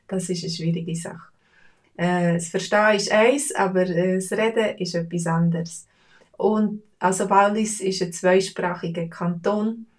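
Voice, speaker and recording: Swiss German woman, casual teacher, rambling with fillers, slightly distant mic. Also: mid-range voice. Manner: relaxed, chatty, with many fillers. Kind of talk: casual spoken lesson.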